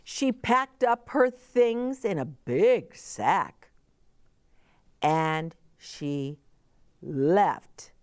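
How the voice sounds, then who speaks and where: A woman's voice: on the low side, animated, extremely slow, a little mellow, clean tone, no echo, clean studio sound. A middle-aged woman reading a children's story aloud, expressively, with chatty asides explained simply as if to young children.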